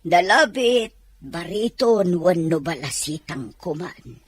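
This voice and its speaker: A woman's voice: lowish voice, animated, takes it slowly, husky, no room sound, heavily acted. An actress with an Ilocano accent playing a meddling older mother eager for a grandchild in a radio drama. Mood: scheming, hopeful.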